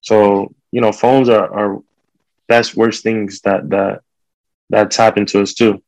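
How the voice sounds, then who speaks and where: Low-voiced man chatting casually. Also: little pitch movement, slow pace, a little mellow, dry recording, rough recording. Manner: informal, uses street slang. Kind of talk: casual conversation.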